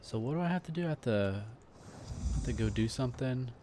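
A man's voice: mid-range voice, lively intonation, brisk, slight background noise. A man hosting a casual let's play, chatting in a laid-back way with jokey asides. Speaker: gaming channel host. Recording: loud game music behind voice.

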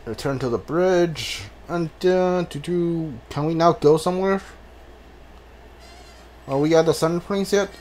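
A man giving casual video game commentary, halting and mumbling as he reads text from the screen. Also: a little high, darkish tone, a little hiss or hum. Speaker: gaming streamer. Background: video game music.

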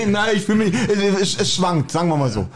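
A man hosts with casual, teasing banter. His voice sits fairly high and swings animatedly up and down. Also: animated, moderate pace. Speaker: podcast host.

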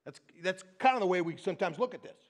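A middle-aged man preaching a sermon on a church stage, talking in a loose, animated way with many restarts and tag questions. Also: high-pitched, quick pace. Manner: conversational, animated, frequent restarts and "right?". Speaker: pastor.

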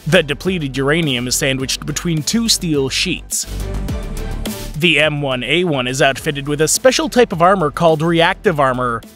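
A man giving scripted documentary narration in an even, informative way. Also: fairly high pitch, normal speed. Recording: voice-over with faint background music.

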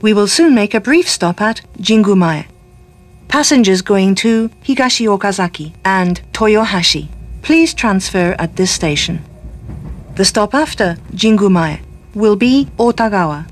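A woman reading scripted train announcements with measured, careful enunciation. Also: medium pitch, lively intonation. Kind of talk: recorded public announcement, scripted.

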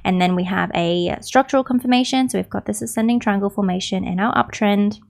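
Young woman analyst, hoarse and gravelly, steady explanatory chart read, close studio mic. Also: mid-range voice, a little fast. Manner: explanatory, steady, repeats "right?" as a check-in. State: losing her voice, raspy. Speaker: crypto trading analyst. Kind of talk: technical chart analysis walkthrough. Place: small room at home. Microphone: studio mic on stand close up.

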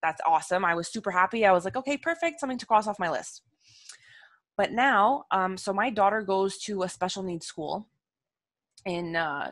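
A young woman from Montreal with an Italian-tinged accent, a guest parent on a video call, talking quickly and earnestly with a headset mic and lots of "like" and "um". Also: lowish voice, fairly bright tone, dry recording. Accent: Italian-tinged Montreal English. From Montreal, Canada. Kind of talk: casual video call chat. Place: home room, seated in a gaming chair.

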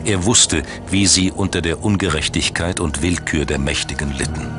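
Male documentary narrator, low register, scripted and dramatically measured, over a music bed. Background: background music.

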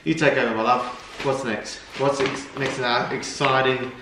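A man's voice: medium pitch, fairly even intonation, moderate pace. An Australian man in his thirties teases his partner with a dry joking manner. He sits back from the camera in a big kitchen full of echo, with noise running under his voice. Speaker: vlog co-host, partner. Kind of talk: casual chat. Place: large home kitchen, indoors. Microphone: far camera. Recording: echoey kitchen from far off, noisy.

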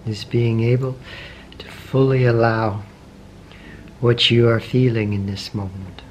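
A man guides a meditation in a soft, unhurried voice of middling pitch, letting long pauses fall between short phrases. His questions come gently and patiently. A faint hiss sits under his voice. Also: level tone, low-quality recording. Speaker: meditation teacher. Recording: faint background noise with meditation music.

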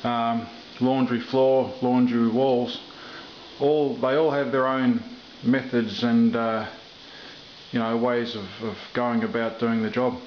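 A middle-aged man explaining his tiling work in a casual, halting way, with many fillers, filmed indoors in a kitchen on a camera mic. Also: medium pitch, level tone. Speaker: home renovator. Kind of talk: casual how-to commentary while working. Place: kitchen under renovation, indoors. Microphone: camera mic, off-camera speaker. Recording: roomy, dull camera audio with faint noise.

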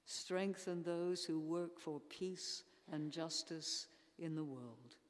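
Slow, solemn older woman praying in an echoing church. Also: deep voice. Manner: solemn, measured phrasing with pauses. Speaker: church intercessor leading prayers. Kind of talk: formal read liturgical prayer. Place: large church interior. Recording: echoey church hall, long reverb.

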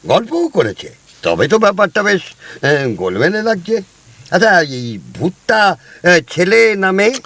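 একজন অভিনেতার করা ধূর্ত বাড়িওয়ালা. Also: male voice, high-pitched, big pitch swings, a little slow, a bit bright, dry recording, full character voice. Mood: skeptical. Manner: leisurely, bargaining tone. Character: shrewd middle-aged male landlord, boastful. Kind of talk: radio play acting.

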